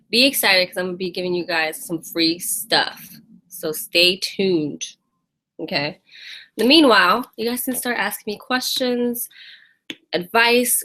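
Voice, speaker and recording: A woman's voice: mid-range voice, pushed and bright, low-quality recording. An American woman hosting a casual live fitness Q&A, chatting and laughing as she greets viewers by name. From United States. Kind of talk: casual live-stream chat.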